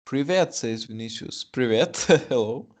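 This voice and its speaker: A man's voice: mid-range voice, lively intonation, a little slow, a little mellow. A young man with a Russian accent, a native Russian speaker, doing live chess commentary in English, chatty and hesitant with many fillers.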